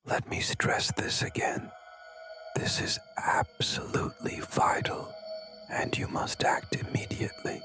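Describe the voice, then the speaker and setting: A man narrating scripted horror warnings in a breathy whisper, deliberate and ominous. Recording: loud music over the voice. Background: loud music.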